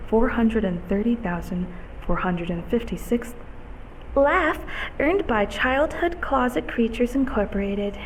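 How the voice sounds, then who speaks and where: A woman's voice: on the high side, expressive, measured pace, slight background noise, rough recording. A woman voicing a nervous, kindly childhood closet creature in an audio roleplay, stammering and apologetic as she speaks to the listener. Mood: showy, pleased. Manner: flustered, stammering, repeating words.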